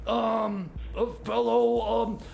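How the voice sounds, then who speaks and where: Flustered man with a very high, creaky voice. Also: level tone, darkish tone, faint music behind, poor audio. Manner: flustered, halting, stammering, apologetic. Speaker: radio show host. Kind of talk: comedy radio banter.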